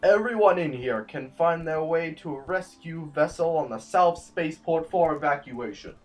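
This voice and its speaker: A man playing a stern, formal sovereign who presides over an assembly in measured oratory, in an audio drama. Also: fairly high pitch, faint music behind, natural voice. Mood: grave, accusing.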